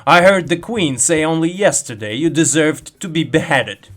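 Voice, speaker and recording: A man's voice: fairly high pitch, moderate pace, fairly bright tone, faint music behind. A man voicing a quarrelsome, indignant playing-card gardener from a children's storybook, sulky and exclaiming. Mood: sulky, accusing.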